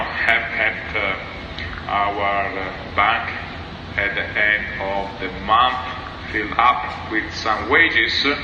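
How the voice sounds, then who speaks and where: A low-voiced man addresses his crew as a ship's captain. He speaks haltingly in English, searching for words. The sound is very reverberant, with clear noise over the announcement. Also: muffled recording. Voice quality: non-native English phrasing. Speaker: cruise ship captain. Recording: echoey, noisy recording of a PA announcement.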